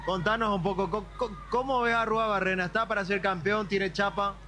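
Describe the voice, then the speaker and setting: A man doing street interviews outdoors after a match, asking brisk, friendly questions. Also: high voice, steady tone, noisy background. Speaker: street reporter. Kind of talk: vox-pop interviewing.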